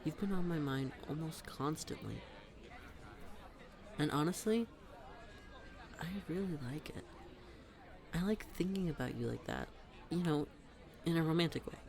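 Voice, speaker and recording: A man playing a smitten young best friend in a roleplay audio, talking to the listener in a halting, playful, nervous way. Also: fairly high pitch, animated, vocal fry. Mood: tender, confessing. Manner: halting, giggly, playful, addressing the listener directly. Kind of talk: scripted roleplay audio, intimate conversational acting. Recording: public place with crowd murmur.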